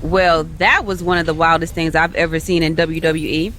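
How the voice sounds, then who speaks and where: A woman's voice: low-pitched, fairly even intonation, normal speed, a bit bright, a little hiss or hum. An actress playing a cocky, indignant young female wrestler with a brash, emphatic delivery. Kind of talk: video game cutscene dialogue.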